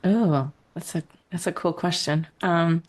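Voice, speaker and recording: A woman from Iceland with a notably low, deep voice talks through her childhood in a relaxed interview. She reflects as she goes, pausing on fillers and telling stories. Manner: reflective, storytelling, frequent fillers and laughs. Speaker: podcast guest, creative coach. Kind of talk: casual interview conversation.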